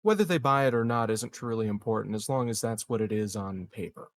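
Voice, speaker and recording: A man playing a calculating colonial leader in a tabletop game, speaking formally and haltingly like a careful politician. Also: on the low side, dry recording, close to an everyday voice. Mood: evasive, diplomatic. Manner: formal, measured, halting diplomatic phrasing. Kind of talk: improvised tabletop roleplay dialogue.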